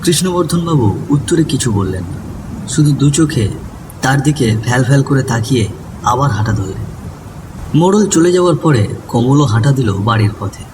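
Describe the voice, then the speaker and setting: A man narrating a scripted ghost story in a dramatic, suspenseful storytelling manner. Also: mid-range voice. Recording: distant voice over noisy ambience.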